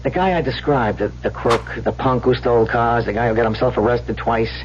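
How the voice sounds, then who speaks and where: A small-time crook from an old-time radio play , acted by a man, working on his sweetheart in halting, repetitive street talk. His medium-pitched voice comes through a dull vintage recording with a faint hum. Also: natural voice. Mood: bitter confessing.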